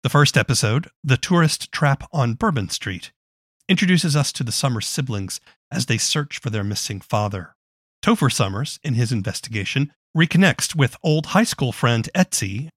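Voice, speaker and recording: A man's voice: medium pitch, no echo. A man hosting a podcast, reading scripted narration into a close mic. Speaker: podcast host.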